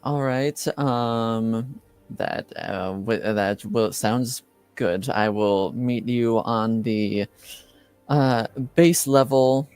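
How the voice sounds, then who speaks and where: A man's voice: medium pitch, extremely slow, a little mellow, clean tone, no echo, soft background music. A man playing a polite, flustered senior enchanter in a tabletop roleplay, speaking formally and hesitantly.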